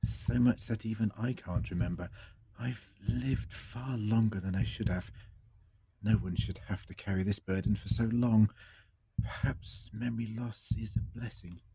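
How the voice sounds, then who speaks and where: An actor in an audio drama playing an ancient, weary, eccentric time-travelling Doctor with a dry, hesitant, theatrical delivery. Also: male voice, on the low side, a little slow, gravelly, warm tone, husky, no echo, exaggerated voice, low-quality recording. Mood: weary, reflective.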